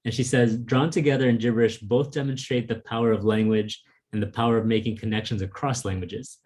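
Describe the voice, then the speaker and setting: A man's voice: mid-range voice, steady tone, brisk, darkish tone. A man whose first language is Vietnamese, talking thoughtfully as an interviewer over a video call, with lots of "like" and "um". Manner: thoughtful, with frequent "like" and "um" fillers. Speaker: interviewer, fellow author. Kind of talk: casual conversation.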